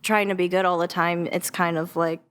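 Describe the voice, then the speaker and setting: A woman's voice: fairly low pitch, level tone, normal speed, a bit bright, clear, resonant tone. A woman guest chatting casually on a podcast, informal and tentative, often saying "like" as she feels out her answer. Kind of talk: casual chat.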